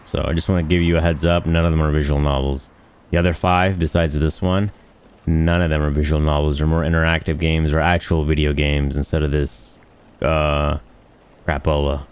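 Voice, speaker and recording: A man with a low voice hosts a gaming video. He talks off the cuff in a blunt, slangy style, rambling on with crude turns of phrase. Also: little pitch movement, normal speed, clean tone. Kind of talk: casual, unscripted gameplay commentary.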